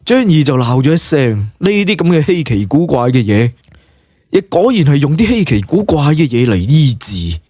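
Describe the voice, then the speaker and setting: Animated Cantonese male story narrator. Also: mid-range voice, moderate pace, husky, no room sound, clean studio sound. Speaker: audiobook narrator. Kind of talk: dramatic scripted story narration.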